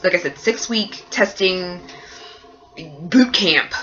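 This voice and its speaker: A nursing student chatting through a casual vlog update, sounding nasal and congested from a cold and rambling along with lots of fillers. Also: female voice, fairly low pitch, slow pace, fairly bright tone, muffled recording.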